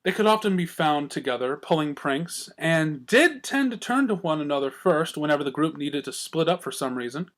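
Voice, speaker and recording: A man reading fiction aloud as a storyteller, with expressive scripted narration. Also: a little high, moderate pace, few pauses. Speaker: story reader.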